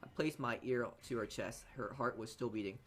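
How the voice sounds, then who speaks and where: A man reading a visual novel aloud as dramatic narration, halting often as he stumbles over and repeats words. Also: medium pitch, a bit bright. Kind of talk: dramatic read-aloud narration for a let's play.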